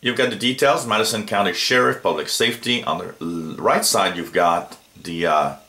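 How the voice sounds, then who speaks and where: Male reviewer, very low bright voice, halting delivery, informal demo style. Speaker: app reviewer. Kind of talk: casual unscripted app walkthrough. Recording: roomy, echoey home recording.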